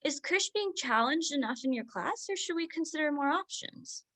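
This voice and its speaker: A woman acting a brisk, higher-voiced working mother. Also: animated, a bit bright. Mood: brisk, probing. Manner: brisk, interrupting, insistent. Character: overworked, defensive, ambitious doctor mother, brisk and proud. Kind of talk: staged reading of contemporary drama.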